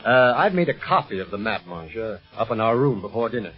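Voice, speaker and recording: An actor playing a calm, kindly, authoritative secret agent leading his team in a 1930s radio adventure serial. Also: fairly low pitch, brisk. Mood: genial, assured. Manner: reassuring, genial, authoritative. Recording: muffled old radio recording with music.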